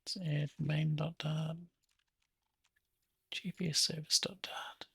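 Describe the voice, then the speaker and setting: A man with a gravelly, husky voice talking casually to himself as he hosts a think-aloud software tutorial. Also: a little high, level tone, slow pace, dry recording. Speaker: app developer hosting a coding session.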